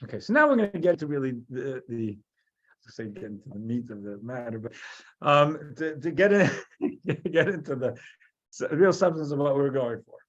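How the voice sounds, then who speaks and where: A man's voice: mid-range voice, animated, normal speed. An American man from New Jersey, a rabbi teaching a Torah class. He halts often, restarting and repeating words as he thinks aloud. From New Jersey, USA. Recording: dull, lo-fi sound.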